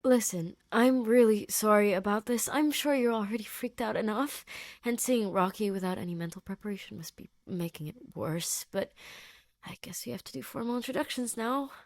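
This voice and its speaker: A woman as a gentle, earnest male scientist, pitched up. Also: moderate pace, no echo, clean studio sound, close to an everyday voice. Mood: gentle, explaining. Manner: soothing, placating, slightly rambling. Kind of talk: character dialogue in audio fiction.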